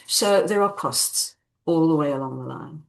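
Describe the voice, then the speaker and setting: A woman speaks in a low register as an author being interviewed. She explains things conversationally and with measured care, leaning on repeated phrases to make a point. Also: vocal fry. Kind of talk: conversational interview answers about own book.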